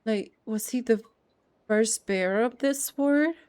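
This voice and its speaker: A woman's voice: fairly high pitch, slow pace, a little mellow, smooth. A woman game streamer giving casual playthrough commentary and reading dialogue aloud, musing and reactive.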